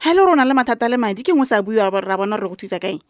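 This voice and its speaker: A woman's voice: medium pitch, animated, very fast, no room sound, clean studio sound, lightly acted. A woman with a Botswana accent acting a meddling, protective grandmother who scolds her grown daughter in a radio drama. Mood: scolding, hurt. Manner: scolding, disapproving.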